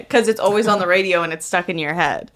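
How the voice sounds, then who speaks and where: A woman's voice: on the low side, fairly quick, fairly bright tone. A young adult woman co-hosting a podcast in casual comedic banter, teasing, blunt and sweary. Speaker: podcast co-host.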